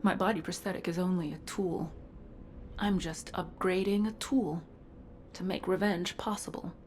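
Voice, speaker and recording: A woman's voice: on the low side, fairly even intonation, moderate pace, darkish tone, slight background noise, close to an everyday voice. A woman playing a vengeful, impatient cyborg commander in a video game, blunt, defiant and heated. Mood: determined. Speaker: voice actor. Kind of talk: dramatic game dialogue.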